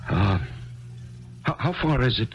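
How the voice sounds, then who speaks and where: A man's voice: medium pitch, lively intonation, unhurried, lightly acted. An actor playing a grieving middle-aged man, hesitant and stammering, earnest and unsure, in a 1970s radio drama. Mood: anxious. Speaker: lead character and narrator. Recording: dull old radio broadcast with music.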